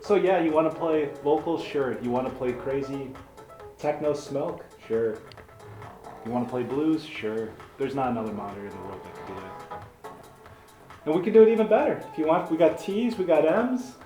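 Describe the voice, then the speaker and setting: A man's voice: a little high, lively intonation, moderate pace, warm tone. Emphatic salesman in a roomy space. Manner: emphatic, short punchy phrases. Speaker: hi-fi showroom salesman. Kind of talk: casual sales pitch. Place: hi-fi showroom, indoors. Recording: roomy showroom with music.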